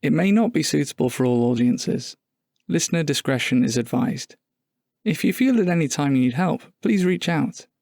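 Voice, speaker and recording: A man reading a scripted podcast announcement in a measured, formal manner. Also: a little high, animated, gravelly, darkish tone, no echo, studio quality.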